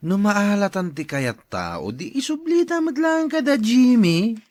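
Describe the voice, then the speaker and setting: Man as grasping schemer, higher-pitched and animated. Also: a little slow. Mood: calculating. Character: grasping schemer after an old man's farmland. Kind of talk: Ilocano audio drama acting.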